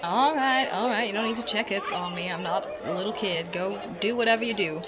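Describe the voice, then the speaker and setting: A woman's voice: medium pitch, a little fast, a loud crowd, natural voice. A woman playing a skeptical, sardonic young investigator in a fantasy game, wry and blunt. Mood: scornful.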